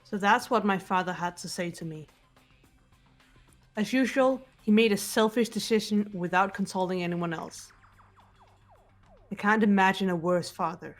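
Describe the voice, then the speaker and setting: Lady acting a cool reserved teen girl from a game, mid pitch, soft music behind. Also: normal speed, pauses often, natural voice. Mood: coolly bitter. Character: cool, composed teenage girl, bitter and reserved.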